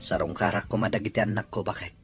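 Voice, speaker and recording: A man's voice: on the low side, little pitch movement, quick pace, over music, close to an everyday voice. A man playing a hardworking, exasperated husband and father in an audio drama, sounding weary and defensive. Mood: calmer. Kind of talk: amateur audio drama dialogue.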